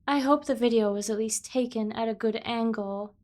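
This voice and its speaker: A woman's voice: on the high side, moderate pace, darkish tone, lightly acted. A woman voicing an obsessive, protective yandere sibling in an ASMR roleplay, speaking with earnest, over-the-top devotion. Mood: embarrassed. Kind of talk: scripted ASMR roleplay addressed to the listener.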